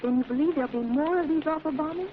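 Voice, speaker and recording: A woman's voice: high voice, fast talker, lightly acted. An actress playing a poised, attentive woman, a detective's companion, in an old radio drama. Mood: attentive, curious. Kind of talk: 1940s radio acting. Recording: muffled noisy old radio recording.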